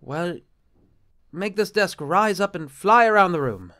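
A man's voice: high-pitched, animated, measured pace, sharp tone, heavily acted. A man playing a stiff, anxious bishop in an audio drama, formal and hesitant in his speech. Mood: exasperated. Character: stiff, exasperated, anxious male bishop and husband. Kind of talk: film-adaptation audio drama acting.

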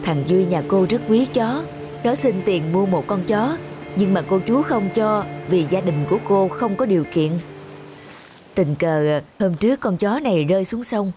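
A gentle, firm cartoon mother voiced by a woman, with a mid-pitched voice. She explains and admonishes in measured phrases, while music plays clearly behind her. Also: expressive, close to an everyday voice. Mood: explaining. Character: gentle but firm mother of a poor boat family. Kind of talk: Vietnamese cartoon dubbing.

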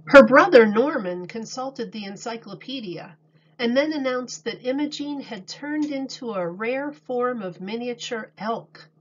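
Lady teacher reading kids a picture book, highish voice, kinda dull lo-fi recording. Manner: deliberate, expressive, explains words for young children. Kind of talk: read-aloud storybook narration.

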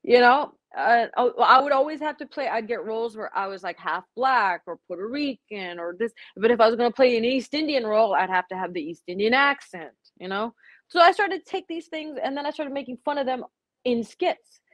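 A woman's voice: fairly high pitch, a little fast, no room sound. Frank woman guest on a dry video call. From Middle East. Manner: frank, animated, gestures while talking. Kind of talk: candid conversational storytelling. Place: home room, indoors. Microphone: webcam on a video call.

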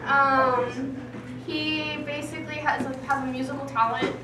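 A young woman giving an informal student presentation in a halting, giggly manner, recorded from across a classroom. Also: sits high, fairly even intonation. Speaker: student performer. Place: classroom, indoors. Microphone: distant camera microphone. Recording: roomy, noisy classroom from far off.

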